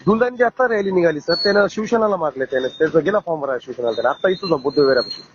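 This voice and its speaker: A man's voice: a little high, lively intonation, rapid-fire, noisy background. A man speaks deferentially in an informal phone conversation, as a local party worker would to his seniors. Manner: deferential, frequently addressing the listener as sir. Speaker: local party booth chief seeking a ticket. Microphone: phone call. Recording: muffled recorded phone call.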